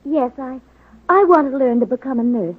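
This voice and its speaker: A woman's voice: sits high, expressive, moderate pace. An actress playing an eager, earnest young woman who hopes to be a nurse, hesitant and breathless, in 1940s radio drama style. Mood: nervous, hopeful. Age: young adult. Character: eager, earnest young female would-be nursing student. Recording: tinny phone-like vintage radio recording.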